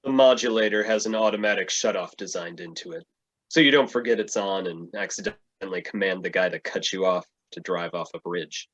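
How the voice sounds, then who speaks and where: A man's voice: sits low, fairly quick, fairly bright tone, lo-fi sound. A man reading the part of a guilt-ridden tech superhero in a staged play reading, with an anxious delivery. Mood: explaining.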